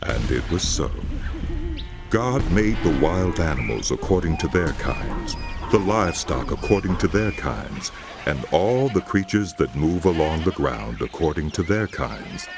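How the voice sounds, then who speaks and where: A man narrating dramatized scripture in a solemn, deliberate storytelling manner. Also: very deep voice, big pitch swings, vocal fry. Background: music.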